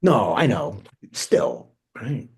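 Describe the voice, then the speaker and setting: Male actor as amped, impulsive American guy, very raspy and lively, casual and sweary, film drama read. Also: on the low side, extremely slow, full character voice. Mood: gossipy, guarded. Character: Benji, amped, impulsive, foul-mouthed American male cousin. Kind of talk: screenplay table read.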